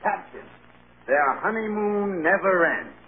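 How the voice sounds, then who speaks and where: Brash press agent, tinny radio. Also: male voice, high voice, expressive. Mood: brisk, businesslike. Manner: brisk, wisecracking. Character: brash, cynical male Hollywood studio press agent. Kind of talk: vintage American radio drama acting. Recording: scratchy, tinny old radio broadcast.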